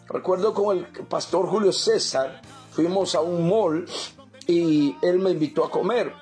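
A man from El Salvador delivers a sermon, his voice pitched high. He preaches fervently, repeating his points and turning to his listeners as brothers. Soft music plays faintly behind him. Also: unhurried.

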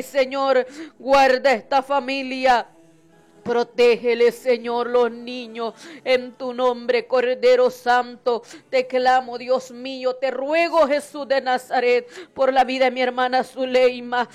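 Very high-pitched voice in fervent prayer, monotone. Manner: pleading, repetitive invocations of God. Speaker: worshipper leading intercessory prayer.

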